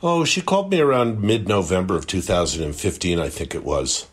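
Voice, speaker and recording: A man's voice: low-pitched, animated, a little fast, slightly rough. An actor playing a world-weary male private investigator, talking in a dry, musing, conversational way in an audio drama. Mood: wry, reflective. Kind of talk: audio drama interview testimony.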